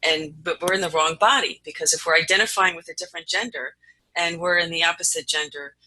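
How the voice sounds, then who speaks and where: A woman's voice: on the low side, steady tone, quick pace, pushed and bright, no room sound, poor audio. A woman hosting a casual, unscripted discussion. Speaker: host. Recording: noticeable background noise on voice.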